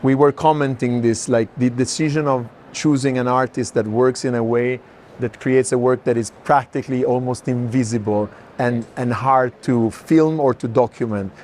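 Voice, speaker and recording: A man's voice: medium pitch, steady tone, normal speed, darkish tone. A man moderating an unscripted walking conversation about art. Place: walking through an art fair. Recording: clear background noise of busy fair.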